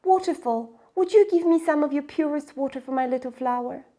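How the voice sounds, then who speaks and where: A woman voicing an excitable, impatient little girl in a children's storybook, with urgent, pleading delivery. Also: high-pitched, a little fast, voice pushed up. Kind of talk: children's storybook read-aloud.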